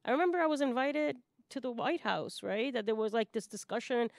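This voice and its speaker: A woman's voice: fairly high pitch, expressive, brisk, gravelly, dry recording, studio quality. A woman from Puerto Rico speaking emphatically and off the cuff in a panel discussion, often checking in with a tag question. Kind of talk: panel discussion, impassioned unscripted talk.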